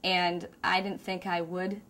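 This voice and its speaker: A woman's voice: lowish voice, flat delivery, a little slow, fairly bright tone. A woman who teaches a class, talking sincerely and plainly in a documentary interview.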